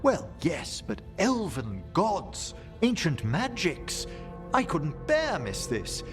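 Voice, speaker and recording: A man's voice: a little high, huge pitch swings, slow pace, over music, heavily acted. A man playing a middle-aged scholarly necromancer in a video game, formal, eloquent and polite, proud of his expertise. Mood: eager, gracious.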